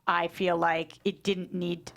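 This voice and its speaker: A woman's voice: lowish voice, level tone, takes it slowly, creaky, a bit bright. A woman actor speaking frankly in a casual panel discussion.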